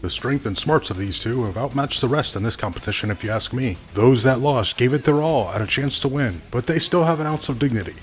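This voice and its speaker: Guy voicing folksy wrestling commentator, mid voice, opinionated, music playing quietly behind. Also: mid-range voice, expressive, a little fast, few pauses, lightly acted. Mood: composed. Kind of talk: scripted sports commentary.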